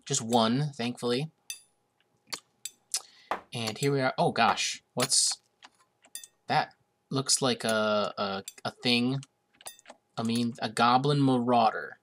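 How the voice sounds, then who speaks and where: A man's voice: mid-range voice, fairly even intonation, slow pace, long pauses, a bit bright. A young man narrates his strategy game in a casual, rambling way, stopping often on "uh" and "um." He is getting over a cold and sounds a little sniffly. A faint hiss and the click of a mouse sit under his voice. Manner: chatty, hesitant with many uhs, amused. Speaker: gaming video host. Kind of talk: casual let's-play commentary.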